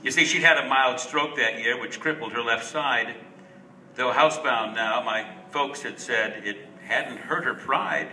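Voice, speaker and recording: Bright-voiced man reciting verse, roomy recording. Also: medium pitch. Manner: dramatic, emphatic storytelling with deliberate pauses. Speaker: reader of a Christmas verse story. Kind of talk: expressive recitation of rhyming narrative verse. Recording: roomy, distant mic with faint noise.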